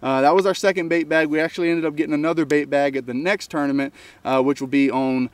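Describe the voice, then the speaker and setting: A man's voice: a little high, flat delivery, fast. A man, co-hosting a fishing channel in casual chat, talking in an easygoing way through results and thanks.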